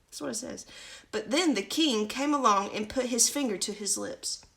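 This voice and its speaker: A woman's voice: a little high, normal speed, gravelly, a bit bright. Woman reading a storybook aloud. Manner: chatty asides to viewers. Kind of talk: casual live reading of a children's storybook.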